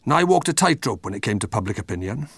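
A man giving measured, explanatory commentary as a contributor to a documentary. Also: on the low side, sing-song, creaky, husky, no echo.

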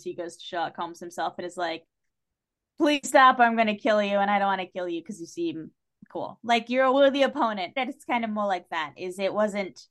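A woman talking casually and animatedly about books as a podcast guest, with informal, salty language. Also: medium pitch. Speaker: guest on a book discussion podcast. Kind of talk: casual chat, literary discussion.

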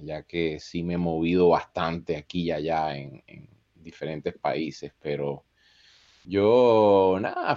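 A man's voice: low voice, a little mellow. A man from Costa Rica speaking casually as a podcast guest, in a dull, muffled recording. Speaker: podcast guest, content creator. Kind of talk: casual autobiographical conversation.

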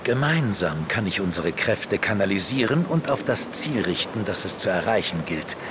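An actor playing a grave, cryptic ancient magician in a fantasy audio drama. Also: male voice, low voice, very animated, normal speed, noisy background. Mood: solemn, urgent.

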